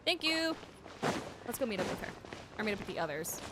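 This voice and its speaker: A woman's voice: mid-range voice, very animated, a little fast, over loud music, poor audio. A woman streamer chatting casually as she reacts to a game. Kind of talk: casual gameplay reaction chat.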